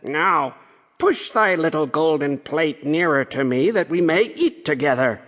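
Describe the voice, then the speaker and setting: A man voicing a pleading, insistent frog in a slow storybook character voice, with long reverb. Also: a little high, animated, a bit bright. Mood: demanding.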